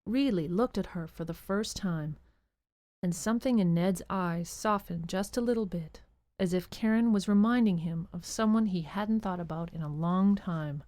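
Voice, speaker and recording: A woman narrating a scripted children's story in a measured way with dramatic pauses. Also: lowish voice, mellow, no echo.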